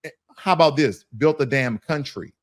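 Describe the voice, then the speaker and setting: A man's voice: fairly high pitch, normal speed. A man in a casual discussion, speaking passionately and asking rhetorical questions.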